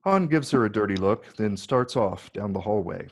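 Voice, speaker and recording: A man reading stage directions as scripted narration in a measured, descriptive way. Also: on the low side, expressive. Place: virtual read, recorded remotely.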